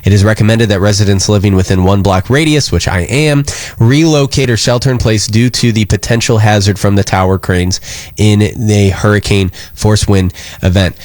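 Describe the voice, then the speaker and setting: A man's voice: on the low side, steady tone, moderate pace, darkish tone, no echo. An American man hosting a live radio show, talking casually and earnestly in a rambling, concerned way.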